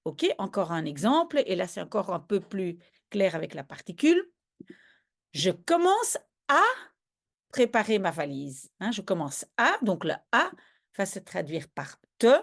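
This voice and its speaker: Lively woman teaching step by step. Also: mid-range voice, unhurried, creaky. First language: Dutch. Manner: patient, step-by-step, checks understanding often. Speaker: language teacher. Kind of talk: explaining grammar in a video lesson.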